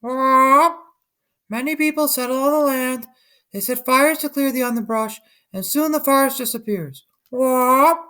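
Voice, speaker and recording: A man's voice: very high-pitched, measured pace, pushed and bright, pitched above the performer's own voice, exaggerated voice. A man voicing a toucan who squawks shrilly and quacks between phrases. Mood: urgent.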